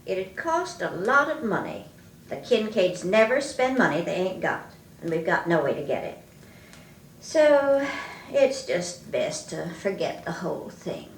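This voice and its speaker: Thrifty old granny, stern, rustic, plain-spoken, unhurried, radio drama, distant roomy vintage record, faint noise. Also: female voice, fairly low pitch, big pitch swings, gravelly, heavily acted. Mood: firm, resigned. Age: older.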